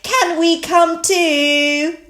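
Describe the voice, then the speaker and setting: A woman voicing an eager, proud little girl piglet in a children's storybook, with a childlike, excitable manner. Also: very high voice, drawn-out words, echoey room, voice pushed up, full character voice.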